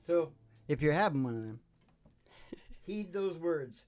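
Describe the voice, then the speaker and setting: A middle-aged man hosting a music show with playful, unhurried, rambling stage patter full of joking asides. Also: on the high side, expressive, a little mellow, no echo. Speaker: host of a music and comedy show. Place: small dim curtained stage room. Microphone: stand microphone. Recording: guitar playing behind the voice. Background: acoustic guitar.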